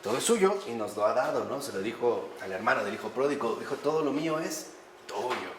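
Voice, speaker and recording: Male lecturer, animated, asks the audience rhetorical questions, echoey room with faint background hum. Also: mid-range voice, brisk. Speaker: priest teaching a class. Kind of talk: conversational lecture on faith.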